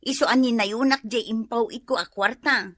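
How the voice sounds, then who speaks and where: A woman's voice: fairly high pitch, fairly quick, fairly bright tone, no echo. A woman playing a worried, weary mother working far from her family in a radio drama, plaintive and questioning. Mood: insistent, concerned. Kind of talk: Ilocano comedy radio drama acting.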